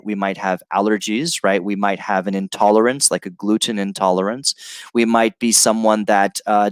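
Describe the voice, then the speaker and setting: Relaxed chatty male teacher, dry sound. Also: fairly low pitch, clear, resonant tone, no echo. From Brazil and California, United States. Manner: relaxed and chatty, many fillers, restarts and pauses. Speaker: online English teacher. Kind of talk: live-stream English lesson reading viewer comments.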